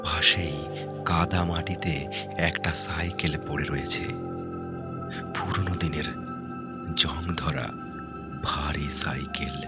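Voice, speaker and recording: Man, slow dramatic horror narration, halting suspenseful phrasing, prominent background music. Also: very deep voice, steady tone. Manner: suspenseful, halting short phrases, dropping to hushed breathy tones. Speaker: audio story narrator.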